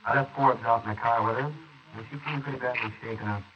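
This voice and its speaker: A man's voice: medium pitch, steady tone, fast talker, lightly acted. A man as a curt police sergeant. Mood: brisk. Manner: clipped and impatient. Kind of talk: 1940s radio acting. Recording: hissy lo-fi 1940s wire recording.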